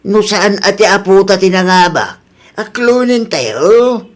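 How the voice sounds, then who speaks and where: A man with a Filipino accent playing a sensible old grandfather who gently admonishes in a radio comedy drama. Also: sits high, expressive, unhurried. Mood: sensible, admonishing.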